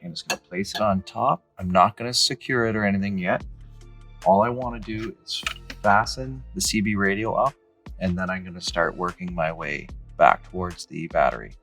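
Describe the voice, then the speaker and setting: A man in his thirties with a low-pitched voice walks through a DIY job step by step. His delivery is casual and plain-spoken, like a hobbyist's tutorial. He is recorded in a garage on a camera mic, with music in the background. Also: normal speed, fairly bright tone. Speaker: host of a DIY Jeep how-to channel. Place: indoor garage with high ceiling, in and around a car.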